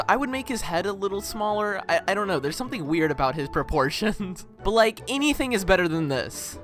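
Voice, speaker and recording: An animated YouTuber talks over background music in a casual video review. The pitch swoops up and down constantly, and the delivery is excitable and slangy, tipping into playful mock outrage.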